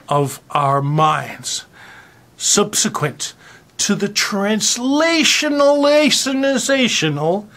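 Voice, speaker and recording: A performer reads aloud in a mock-dramatic style, in a mid-pitched voice that swoops widely up and down. The delivery is slow and theatrical, with exaggerated emphasis and deliberate pauses. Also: a bit bright. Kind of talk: mock-dramatic reading of a garbled text.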